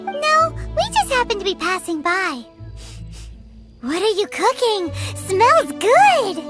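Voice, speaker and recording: An excitable little fairy sidekick from a video game. The voice is extremely high and swoops playfully, with childlike eagerness. Loud music plays behind it. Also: female voice, slow, exaggerated voice. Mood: chirpy, curious. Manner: speaks of herself in the third person. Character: tiny excitable female fairy companion, childlike, food-loving.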